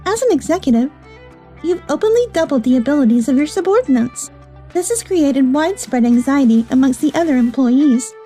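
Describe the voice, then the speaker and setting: An actress playing a stern, exacting company official in a manga dub, formal and accusatory. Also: female voice, high voice, warm tone.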